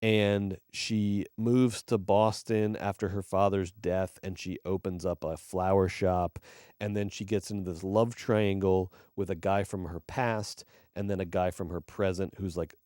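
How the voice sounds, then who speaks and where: A man co-hosting a movie podcast, reviewing films in casual chat, rambling and swearing with animated emphasis. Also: sits low, a little slow, no room sound, studio quality. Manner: rambling and profane. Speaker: podcast co-host.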